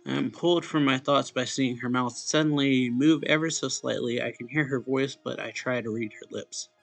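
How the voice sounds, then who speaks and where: A man reading a visual novel aloud as a let's play host, in a halting way, stumbling and going back over lines. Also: mid-range voice, fairly even intonation, vocal fry, soft background music.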